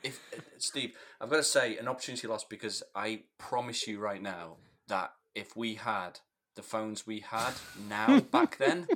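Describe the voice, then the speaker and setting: Bright teasing male podcast host. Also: on the low side, very animated, moderate pace, gravelly, hardly any pauses. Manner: teasing, dry humor. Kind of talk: casual chat.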